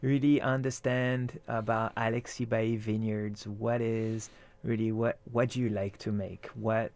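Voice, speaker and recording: A man hosting a radio interview, asking questions in a halting way with restarts. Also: on the low side, flat delivery, darkish tone. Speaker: radio host.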